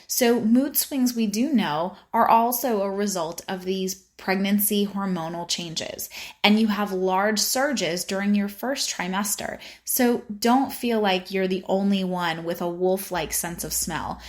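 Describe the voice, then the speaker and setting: A woman's voice: mid-range voice, unhurried. A woman with a Spanish accent hosting a podcast, explaining health topics in an upbeat way with playful asides. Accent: Spanish-accented English. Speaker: podcast host. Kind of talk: informative podcast monologue.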